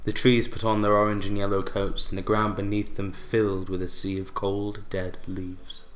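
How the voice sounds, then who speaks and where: An actor playing a bitter, broken widower in a radio play monologue, brooding and theatrical, with deliberate pauses. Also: male voice, lowish voice, monotone, lightly acted. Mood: wistful, brooding. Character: bitter, broken widower recounting his unhappy marriage.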